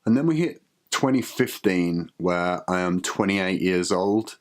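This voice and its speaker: Low-voiced man, candid and reflective. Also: animated. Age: 30s. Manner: candid, reflective, halting at times. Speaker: vlogger. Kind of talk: personal video diary.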